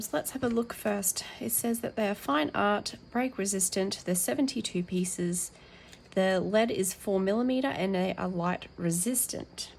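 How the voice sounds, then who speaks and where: A woman with a croaky, worn-out voice talks casually through an unboxing at her table, filling pauses with ums and reading labels aloud. Her tone has a gravelly edge, with a faint hiss of background noise. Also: mid-range voice. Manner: chatty with frequent ums, reads packaging aloud. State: croaky, tired voice. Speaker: colouring channel host.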